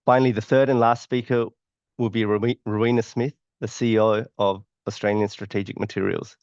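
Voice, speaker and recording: A man's voice: on the low side, flat delivery, a little fast, darkish tone, dry recording. A man moderating a webinar, introducing the speakers and reading their bios with small slips. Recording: dry, dull remote webinar audio.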